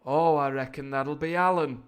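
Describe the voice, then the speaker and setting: A man's voice: a little high, steady tone, normal speed, smooth, voice pushed up, natural voice. A man playing a wary, cunning pirate with a smiling menace, exclamatory and sly, for a story reading.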